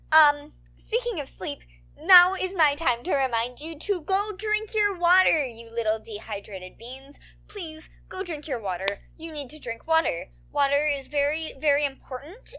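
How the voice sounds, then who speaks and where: A woman's voice: high voice, pushed and bright. A young woman chatting casually to her listeners as a channel host, in a playful, rambling, bubbly manner. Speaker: podfic reader and channel host.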